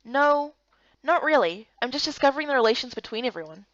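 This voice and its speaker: Woman as a high-voiced, curious young heroine. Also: animated, fairly quick, a bit bright, no room sound, dull audio. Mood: matter-of-fact. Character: young human heroine, curious, unsure, plain-spoken. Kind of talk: first-person visual novel narration and dialogue.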